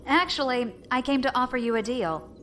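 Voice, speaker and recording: A woman's voice: sits high, lively intonation, moderate pace, fairly bright tone, soft background music. A woman playing a defiant, commanding rebel fleet leader in an audio drama. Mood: shrewd. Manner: commanding, composed.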